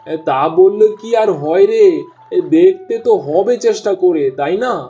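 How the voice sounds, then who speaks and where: A man's voice: high-pitched, normal speed, mellow, faint music behind, natural voice. A man voicing an eager, friendly village fisherman in a cartoon dub. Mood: jovial. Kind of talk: cartoon dubbing.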